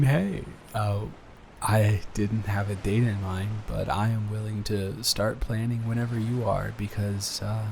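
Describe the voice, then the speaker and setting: Man acting a low-voiced, smitten, nervous boyfriend. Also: creaky, a little mellow, a little hiss or hum. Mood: happy, tender. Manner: halting, stammering, earnest and tender. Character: sweet, smitten, nervous boyfriend proposing to partner. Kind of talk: improvised intimate boyfriend roleplay audio.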